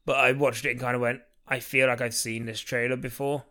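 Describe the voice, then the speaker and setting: A man with a middle-pitched voice co-hosts a casual podcast. He talks in a wry, rambling way, circling his point with plenty of fillers. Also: level tone, brisk, sharp tone. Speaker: podcast co-host.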